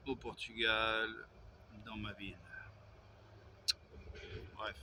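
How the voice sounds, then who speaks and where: A man's voice: mid-range voice, pushed and bright, a little hiss or hum. A man, vlogging in a rambling way, with hesitations and self-corrections. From Belgium. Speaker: YouTuber. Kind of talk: casual vlog monologue.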